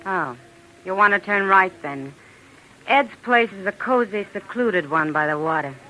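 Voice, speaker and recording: Sultry, sly American femme fatale. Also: female voice, medium pitch, animated, measured pace, bright, forceful tone, low-quality recording. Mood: coy, probing. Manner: languid, teasing, coy. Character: flirtatious, sly femme fatale in slinky dress. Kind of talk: 1940s radio noir acting. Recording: hissy 1940s radio recording.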